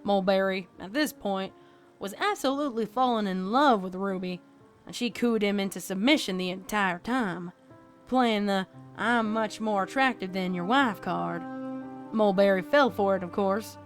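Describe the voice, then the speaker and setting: A woman voicing a deadpan cowgirl pony who tells a spooky tale in a folksy, dramatic way for a fan fiction audio story. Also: mid-range voice, normal speed, natural voice. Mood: steady, suspenseful. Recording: background music under the voice.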